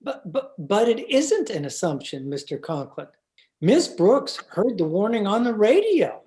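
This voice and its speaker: A woman's voice: medium pitch, very animated, unhurried, dark tone. A woman playing a mild, hesitant, deferential schoolteacher in an old-time radio comedy style. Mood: hesitant, apologetic. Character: timid, mild-mannered, earnest schoolteacher.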